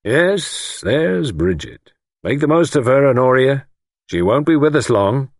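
A man's voice: mid-range voice, wildly swooping pitch, unhurried, slightly rough, no room sound, studio quality, pitched above the performer's own voice, full character voice. Man voicing a pompous, menacing lord, swooping grandly. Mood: chuckling malice. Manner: grandiose, condescending, softly threatening. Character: pompous, menacing lord convinced a higher power serves him. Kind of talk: audiobook character acting.

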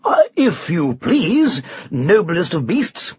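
A man voicing a lanky, courteous male knight, eager and full of hesitant, effusive flattery, in a children's storybook. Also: high-pitched, unhurried, husky, highs cut off, exaggerated voice. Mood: alarmed.

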